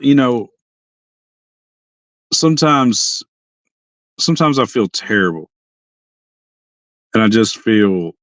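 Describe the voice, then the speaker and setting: A hoarse young man hosting a casual livestream chat from his parked car, rambling and often restarting his sentences. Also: on the low side, a bit bright, no room sound. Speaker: livestream host.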